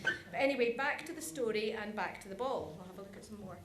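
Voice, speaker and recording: A woman's voice: medium pitch, lively intonation, fast. A woman giving an informal conference presentation in a chatty, self-deprecating way. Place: conference hall, live presentation. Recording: echoey room with background noise.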